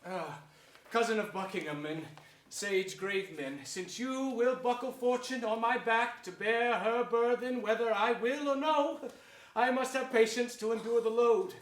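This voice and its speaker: An actor playing an ambitious, scheming usurper king who feigns piety, in declamatory Shakespearean stage style. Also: very high-pitched. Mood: pious false reluctance. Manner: theatrical, slow, emphatic. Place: theatre stage before an audience. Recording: echoey theatre stage.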